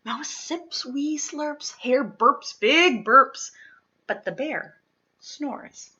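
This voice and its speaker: A woman's voice: sits high, extremely slow, pushed and bright, dull audio, a little hiss or hum. A woman reading a children's picture book aloud expressively, dropping to whispers at times. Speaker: storybook reader.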